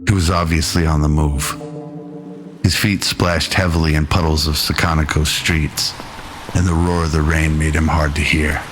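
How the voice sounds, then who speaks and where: A man narrates a scripted audio drama in an extremely deep voice. Music plays clearly behind his storytelling. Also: flat delivery, normal speed, a bit bright.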